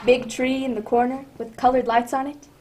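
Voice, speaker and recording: A woman plays an affable announcer in an old-time radio drama. The voice sits fairly high, with chummy, polished patter, like an eager guest of the storyteller. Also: level tone, normal speed, a little mellow, slight background noise, natural voice. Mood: friendly, eager.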